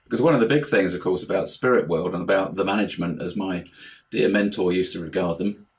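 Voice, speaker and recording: Guy with a lowish voice explaining stuff in an interview, wanders off a bit, repeats words as he goes. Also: fast talker. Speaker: interview guest, house healer and life coach. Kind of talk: conversational explanation in an online interview. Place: remote internet call.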